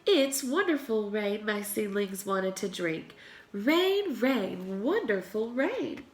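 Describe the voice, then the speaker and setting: A woman's voice: mid-range voice, sing-song, slow pace, slight background noise. A woman voicing an eager, naive male farmer in a children's storybook, with an enthusiastic, exclaiming delivery. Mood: overjoyed.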